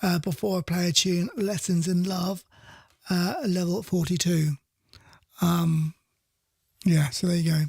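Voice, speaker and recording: Middle-aged man, casual radio host chat, creaky voice, rambling and repetitive, dry close sound. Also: high-pitched, little pitch movement, a little slow, long pauses, darkish tone, no room sound.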